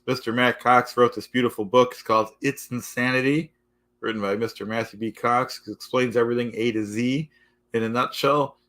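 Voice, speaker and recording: Man, hoarse, halting live-stream monologue, repeats folks and okay, average pitch. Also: steady tone, fairly bright tone. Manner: repetitive, keeps saying "folks" and "okay"; halting, restarts often. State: losing his voice.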